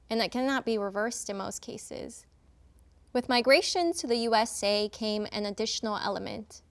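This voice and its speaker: A young adult woman from Nicaragua, Spanish her first language, speaks in a passionate, declamatory way with deliberate phrasing. Her voice sits a bit high and rings smooth and clear. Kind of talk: prepared spoken-word talk.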